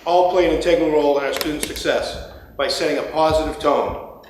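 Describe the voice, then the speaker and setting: A man with a slightly raspy voice from a cold, giving an earnest, formal welcome speech at a school ceremony. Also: on the high side, a little fast. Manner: earnest, formal, reads prepared remarks. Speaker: school principal. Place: school auditorium. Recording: very echoey hall with faint noise.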